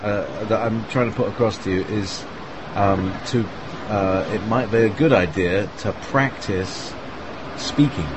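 Low-voiced man rambling casually. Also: a little slow. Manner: meandering, many fillers and laughs. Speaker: host of an online group chat. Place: online voice call. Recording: clear background noise.

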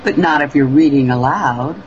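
A man's voice: a little high, moderate pace, a little hiss or hum. A man joining an online chat, speaking hesitantly and sounding far from his microphone. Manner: hesitant, unsure. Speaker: chat participant. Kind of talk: casual chat. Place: online voice call. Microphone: far from the mic. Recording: distant dull lo-fi mic.

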